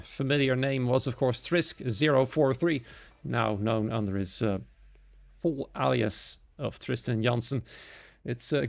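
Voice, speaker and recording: A man's voice: mid-range voice, vocal fry. A man from the Netherlands with a Dutch accent, a native Dutch speaker, giving live solo commentary on sim racing.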